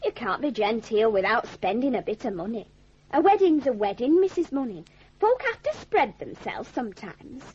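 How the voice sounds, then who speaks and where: An actress playing a snobbish young bride-to-be with a Northern English accent, haughty and putting on airs, in a radio drama. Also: female voice, fairly high pitch, big pitch swings, normal speed, darkish tone, slight background noise. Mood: self-satisfied. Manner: haughty, cutting. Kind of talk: 1980s radio drama acting. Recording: dull lo-fi radio recording.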